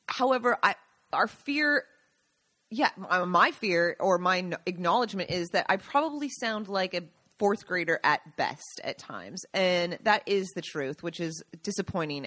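A woman's voice: medium pitch, a bit bright. A woman co-hosting a casual podcast chat, chatty and playfully teasing. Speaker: podcast co-host.

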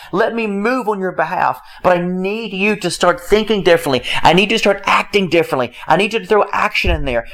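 A man preaching a motivational sermon, emphatic and repetitive, who asks rhetorical questions and acts out listeners' excuses. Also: high-pitched. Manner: acts out quoted listeners' excuses in other voices.

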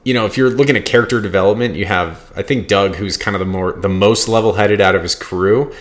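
A man's voice: lowish voice, quick pace. An American man from Boston chatting casually as a film podcast co-host, blunt, profane and opinionated. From Boston, United States. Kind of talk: casual chat.

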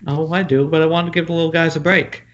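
A man reading the part of a chummy, unsure film director, halting as he goes, in an amateur table read over an internet call. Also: a little high, flat delivery, close to an everyday voice. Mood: halting, friendly. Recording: muffled, faintly noisy internet call audio.

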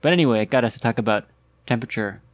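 Relaxed co-host, mid-pitched, chiming in with details, dry unechoed recording. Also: rapid-fire, dry recording. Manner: relaxed, fills in details and finishes partner's sentences. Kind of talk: casual chat.

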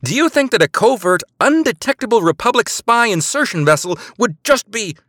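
A man's voice: a little high, very animated, moderate pace, a bit bright, no room sound, clean studio sound, pitched above the performer's own voice. A man voicing a woman spy who bluffs in an imperious, order-barking tone. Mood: commanding. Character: commanding woman spy bluffing over comms.

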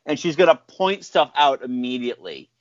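A man's voice: mid-range voice, normal speed, no echo, lo-fi sound. A man co-hosting a casual movie podcast, laughing often and putting on mocking voices. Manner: animated, laughs often, mocks accents. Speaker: podcast co-host. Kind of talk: casual chat.

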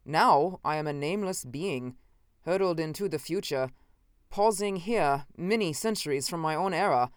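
A man narrator puts on a gaunt, commanding male scientist from another century in an audiobook tale. He speaks with slow, deliberate intensity, cruel and unnerved. The voice is clear and closely recorded. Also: a little high, animated, no echo, studio quality, voice pushed up, lightly acted. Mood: shaken, intense. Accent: odd, unfamiliar.

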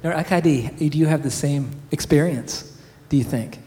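Co-host, man, slightly raised pitch, chatty and self-deprecating, reverberant room. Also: normal speed, warm tone, soft background music. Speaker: radio co-host. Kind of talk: casual interview.

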